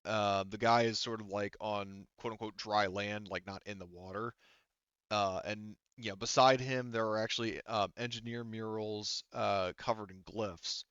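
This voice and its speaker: A man running a tabletop roleplaying game as game master, improvising casual narration in a halting, self-correcting way with many fillers. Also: on the low side, steady tone, fairly bright tone, smooth, no echo, highs cut off.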